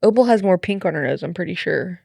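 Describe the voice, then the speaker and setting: A woman's voice: on the low side, lively intonation, fast, gravelly, mellow, no echo, studio quality. A woman in casual, slangy chat, playful and blunt with her friends. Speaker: friend guessing in a quiz.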